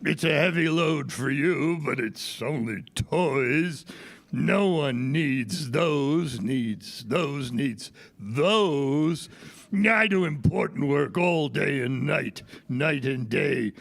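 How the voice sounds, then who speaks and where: A man's voice: a little high, slow pace, creaky, heavily acted. A man playing a big, self-important freight engine in a children's audio theater show, dismissive and pompous. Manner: pompous, echoing own phrases.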